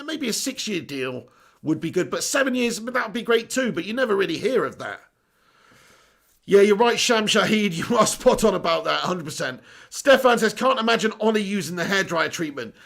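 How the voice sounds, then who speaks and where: A man hosting a live stream, reading out viewer comments excitably, laughing often and swearing casually. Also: sits high, gravelly. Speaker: host.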